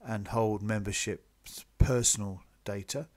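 Guy with a lowish voice talking thru slides for club members, businesslike, semi scripted. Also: fairly even intonation, unhurried, a little mellow. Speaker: sailing club officer presenting to members. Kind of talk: slide presentation, semi-scripted explanatory talk.

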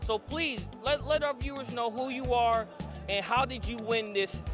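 A man with a high-set voice hosts a casual interview on a handheld microphone at a convention. He talks in an animated, slangy way and repeats phrases to hype things up. Music plays behind him. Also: moderate pace. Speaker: show host interviewer. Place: indoor convention hall booth.

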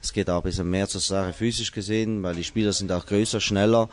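A middle-aged man, a head coach, answering interview questions indoors into a handheld mic, measured and hesitant with frequent fillers. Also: on the low side, steady tone. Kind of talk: post-training interview. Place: indoor press wall, small room. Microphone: handheld mic held by interviewer.

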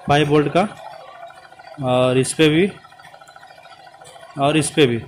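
A man's voice: a little high, slight background noise. Man explaining car diagnostics while working. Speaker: car mechanic. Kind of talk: hands-on repair tutorial. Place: inside a car and at the open engine bay, outdoors.

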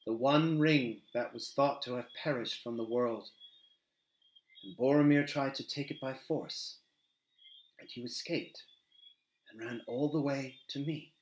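A man voicing a grave, noble male captain of men who speaks slowly and softly. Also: medium pitch, lightly acted. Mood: stern. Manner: slow, soft, measured. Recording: roomy echo.

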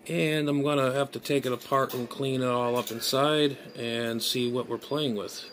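A man's voice: medium pitch, steady tone, normal speed, a bit bright. A man in his forties giving casual unscripted gadget commentary as a hobbyist vlogger, hesitant and thinking aloud in a muttering way. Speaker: amateur radio hobbyist vlogger. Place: small bedroom, indoors. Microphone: phone at arm's length. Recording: noisy phone recording with room sound.

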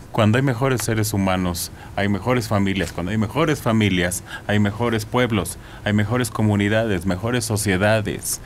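A man's voice: fairly low pitch, a little hiss or hum. A middle-aged man hosting a radio news show, chatting and joking and laughing often, recorded at a desk microphone in a small foam-padded studio. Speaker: radio host.

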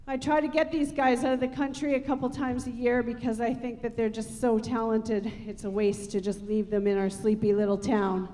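A middle-aged woman with a fairly high voice announces a live martial arts demonstration. She talks to the audience through a handheld microphone from a large theatre stage, explaining things as an instructor. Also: darkish tone.

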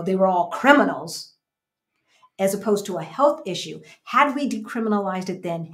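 Emphatic American woman chatting on a livestream. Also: medium pitch. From United States. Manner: emphatic, repeats phrases for emphasis. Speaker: co-host of a livestream. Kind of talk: casual livestream chat, reading out viewer comments. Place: small indoor room at home.

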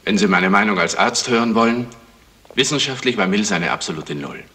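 An actor playing a blunt, scornful doctor in a 1970s German radio crime drama. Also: male voice, lowish voice, expressive, fairly quick, bright, forceful tone. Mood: disdainful. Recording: long hall reverberation.

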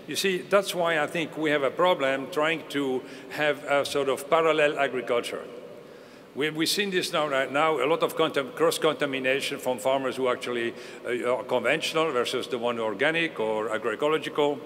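A man's voice: medium pitch, normal speed, fairly bright tone. An older man on a conference panel speaks earnestly, restarting and circling back as he reasons aloud. His voice carries a long reverb from a large hall, with faint ambient hiss behind it. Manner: earnest, restarts and hedges often. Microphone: table mic.

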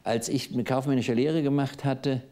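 A man's voice: mid-range voice, steady tone, normal speed, warm tone. A man in his sixties from East Germany, hosting a televised literary panel in a studio before an audience, speaking in a thoughtful, digressive way with frequent hesitations. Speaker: host of a literary discussion.

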